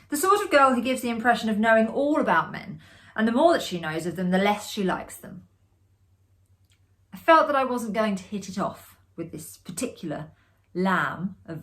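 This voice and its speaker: A woman performs a classic ghost story aloud. Her delivery is theatrical and expressive, and she phrases the sentences deliberately, at a middling pitch for a woman. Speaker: amateur actor reading a story aloud.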